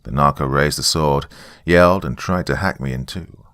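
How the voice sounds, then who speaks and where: Very deep-voiced man narrating a story. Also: normal speed, fairly bright tone, no room sound. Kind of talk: dramatic first-person scripted narration.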